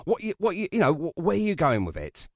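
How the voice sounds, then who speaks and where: A man's voice: a little high, wildly swooping pitch, quick pace, a little mellow, no room sound, clean studio sound. A chatty, matey English man hosting a casual radio interview, speaking with some emphasis.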